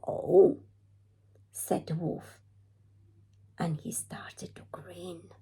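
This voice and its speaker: A woman reading a rhyming children's storybook aloud as an expressive storytime narrator. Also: lowish voice, slow pace, whispered. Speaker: storytime reader.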